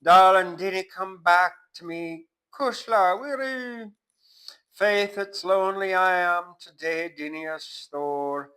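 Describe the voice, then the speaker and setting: A man's voice: slow, sharp tone, clean tone, exaggerated voice. A man putting on a wailing, lovelorn widow. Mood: lamenting. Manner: high wailing lament. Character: grieving plump widow woman, lovelorn.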